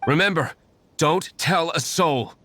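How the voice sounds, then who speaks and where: A man's voice: on the high side, takes it slowly, bright, forceful tone, exaggerated voice. A man playing an aloof, proud young nobleman and secret vigilante in a video game, speaking in a cool, formal, dismissive manner. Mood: businesslike, guarded.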